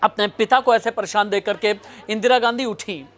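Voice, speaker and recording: High-pitched male storytelling news presenter. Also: steady tone, moderate pace, a bit bright, a faint crowd. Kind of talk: dramatic historical storytelling narration.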